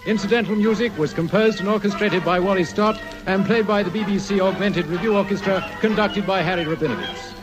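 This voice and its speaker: High-voiced man announcing over loud music. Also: brisk, hardly any pauses, poor audio. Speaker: radio announcer. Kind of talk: scripted closing credits announcement. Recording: old radio recording, loud music and audience. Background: orchestral theme music and audience.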